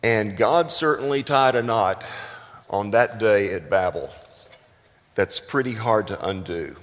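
A middle-aged American man from South Texas preaching a sermon deliberately, with dramatic emphasis and pauses. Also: on the low side, a bit bright. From South Texas, United States. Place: large reverberant room, speaking to a congregation. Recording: echoing hall with long reverb.

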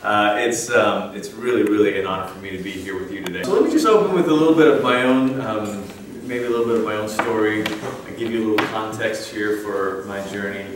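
A man's voice: lowish voice, fairly quick, hardly any pauses, background noise. A man from Malaysia in his thirties giving a personal testimony talk, conversational and full of fillers and restarts. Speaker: guest speaker. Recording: echoey hall from a distance.

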